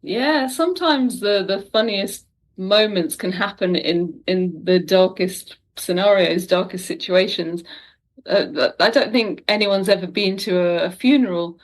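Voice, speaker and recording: A woman's voice: mid-range voice, unhurried. A woman talking casually as a guest writer in an interview.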